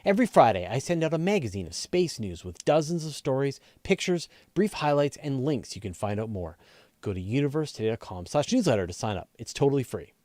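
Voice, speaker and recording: A man's voice: mid-range voice, big pitch swings, brisk, a little mellow, no room sound, studio quality. A man hosting a live question and answer show, explaining things in a casual, conversational way and thinking aloud as he goes. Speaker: host of a space and astronomy question show.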